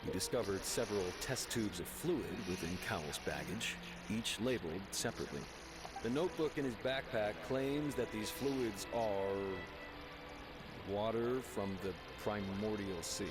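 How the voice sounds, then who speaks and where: Man playing a low-voiced, formal guard. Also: moderate pace, loud music behind the voice, lightly acted. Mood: official, urgent. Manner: formal, official reporting. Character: dutiful formal male courthouse guard reporting. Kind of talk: video game voice acting. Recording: loud noise behind the voice.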